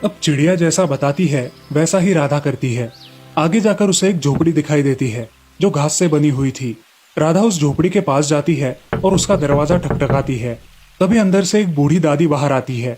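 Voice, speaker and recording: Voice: medium pitch, normal speed, a little mellow, faint music behind. A narrator reading a scripted children's story in a storybook manner.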